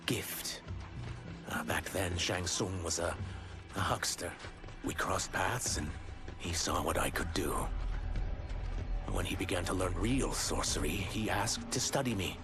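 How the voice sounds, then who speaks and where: Low, sombre reptilian outcast. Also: male voice. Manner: sombre, confiding. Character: earnest, wounded male reptilian shapeshifter outcast. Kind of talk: video game voice acting.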